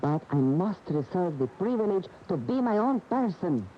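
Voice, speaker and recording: A woman acting in a radio drama as a proud, exasperated lover of a famous bullfighter, emotive and reproachful, with deliberate pauses. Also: lowish voice, very animated. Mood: proud, defiant. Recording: muffled, hissy radio broadcast tape.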